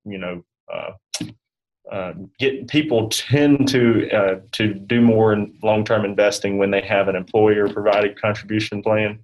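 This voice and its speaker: A man with a fairly low voice talks as an interview guest. His delivery is casual and halting, loosely phrased as he offers advice. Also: rough recording. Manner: halting, loose, peppered with "you know". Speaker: guest advisor.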